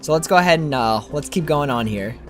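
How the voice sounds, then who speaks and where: A woman's voice: low-pitched, animated, fairly quick, faint music behind. A woman giving casual gameplay commentary as a streamer, thinking aloud in an informal way. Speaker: game streamer.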